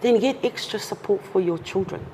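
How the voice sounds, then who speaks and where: A woman's voice: medium pitch, brisk, dark tone. A woman guest talking earnestly and carefully in an interview. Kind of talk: casual interview talk. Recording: long reverb, faint noise.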